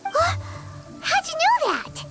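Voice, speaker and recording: A woman voicing a tiny, perky, childlike fairy companion in a video game, with a squeaky, excitable delivery. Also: takes it slowly, heavily acted. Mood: surprised curiosity. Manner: excitable, refers to self in third person. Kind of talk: video game character dialogue. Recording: loud music behind.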